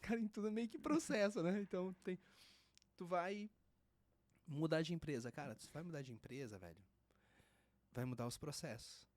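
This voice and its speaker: A Brazilian man hosts a podcast and talks in a loose, slangy way. His voice sits a little high for a man. He sounds lively and relaxed. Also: moderate pace, long pauses, dry recording. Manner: casual slangy, lively, says mano and cara. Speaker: podcast host. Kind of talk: casual podcast conversation.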